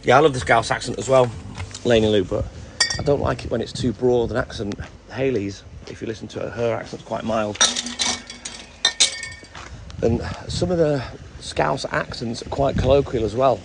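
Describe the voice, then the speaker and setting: A man's voice: medium pitch, moderate pace, creaky, heavy background noise. A man who works as a milkman chatting casually on a live stream, rambling through stories and greeting viewers by name, with a mixed English accent that belongs to no one region. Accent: mixed English, no clear regional accent. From English Midlands, raised partly in North Wales. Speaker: milkman livestreamer. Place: in and around a milk van with his dog.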